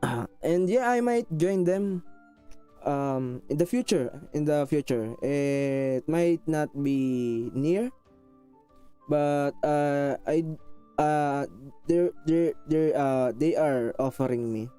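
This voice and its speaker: Filipino man, slightly high, smooth voice, thick accent, chatty and self-conscious streamer. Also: slow, mellow, soft background music. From Philippines. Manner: rambling, frequent restarts, self-conscious and apologetic; switches into Tagalog phrases. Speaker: VTuber streamer. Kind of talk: casual chat with live viewers.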